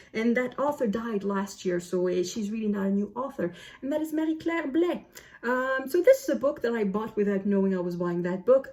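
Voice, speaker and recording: Woman chatting casually, halting and self-correcting. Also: mid-range voice, a little mellow. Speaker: book vlogger. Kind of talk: casual book chat to camera.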